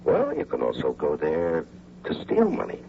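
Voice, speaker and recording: A man's voice: a bass voice, wildly swooping pitch, normal speed, creaky, full character voice. A man playing a sly, assured American schemer in a radio drama, dry and needling in his persuasion. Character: sly, coolly persuasive schemer urging bank robbery. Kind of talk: old-time radio drama acting. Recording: muffled old radio with faint hum.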